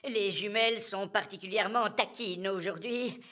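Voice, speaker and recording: A woman's voice: mid-range voice, normal speed, a bit bright, close to an everyday voice. A woman voicing a courteous, composed fairy queen in a children's cartoon. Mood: politely pleading.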